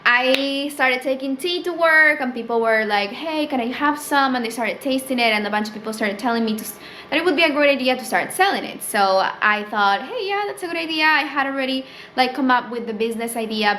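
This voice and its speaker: Casting: young Peruvian woman, native Spanish speaker, slightly high voice, casual talky vlogger, faint room hiss. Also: brisk, sharp tone. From Peru. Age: young adult. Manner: chatty, fills with "like" and "um", rises for quoted thoughts. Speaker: small business owner vlogger. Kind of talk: casual chat to camera. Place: small indoor room with tea stock shelf.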